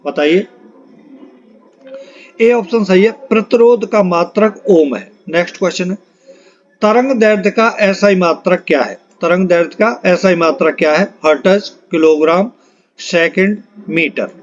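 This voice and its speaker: A high-voiced man leads a quiz-style exam lesson. He reads each item out in an instructional way and repeats key points. The recording sounds dull and lo-fi. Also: a little slow. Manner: instructional, repeats questions and answers for emphasis. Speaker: exam-prep physics teacher. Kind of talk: question-and-answer lesson, reading out questions and options.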